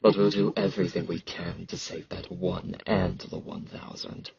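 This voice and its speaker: A man acts out a gruff, aloof knight bred for war in an ASMR fantasy roleplay. His deep voice is heavily creaky and husky, with sibilant S sounds. He talks in terse, halting phrases, socially awkward and low-key, close to the mic in a slightly dull recording. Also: little pitch movement, darkish tone, full character voice. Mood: resigned, stoic. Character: gruff, aloof young battle-hardened genetically modified fantasy knight.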